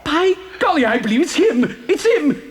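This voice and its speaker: A man playing an eccentric, excitable chocolate factory owner and inventor in a children's audio drama, theatrical, with stammering, hesitant repetitions. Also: extremely high pitch, normal speed, faint music behind. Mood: excited. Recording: long hall-like reverb.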